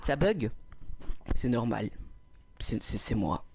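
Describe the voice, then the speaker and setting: A man's voice: mid-range voice, sing-song, takes it slowly, creaky, slight background noise. A young man doing a casual livestream, reading chat comments and telling teasing, self-mocking stories into a cheap little microphone. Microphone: cheap small clip microphone.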